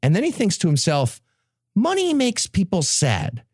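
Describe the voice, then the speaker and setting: A man's voice: high-pitched, wildly swooping pitch, moderate pace, a little mellow, breathy edge, no echo, studio quality. A sardonic, emphatic man presenting a comic podcast summary, swearing freely.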